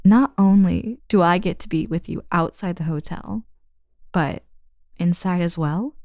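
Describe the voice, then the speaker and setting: A woman's voice: lowish voice, measured pace, darkish tone, no echo, studio quality, natural voice. A woman playing a confident, teasing young woman in an intimate roleplay audio drama, speaking casually and playfully to the listener.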